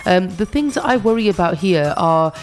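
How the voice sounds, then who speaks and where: A woman's voice: on the low side, animated, moderate pace, faint music behind. Welsh woman doctor with a slight cold. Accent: South Welsh. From South Wales. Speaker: doctor guest. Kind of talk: medical advice on radio.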